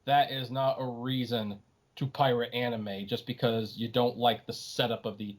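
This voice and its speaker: A man's voice: medium pitch, little pitch movement, moderate pace. Middle-aged man talking casually, emphatic. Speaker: podcast guest, anime writer. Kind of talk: casual opinionated podcast conversation.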